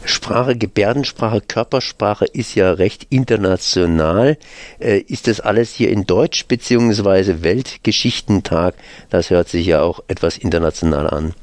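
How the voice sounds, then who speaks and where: A man's voice: on the low side, unhurried, darkish tone, dry recording. A man acting as interviewer, putting questions to a guest in a conversational style. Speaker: interviewer, host.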